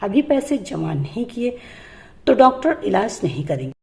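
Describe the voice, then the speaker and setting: A woman's voice: medium pitch, sing-song, moderate pace, vocal fry, warm tone. Earnest younger brother, done by a woman storyteller. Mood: distressed.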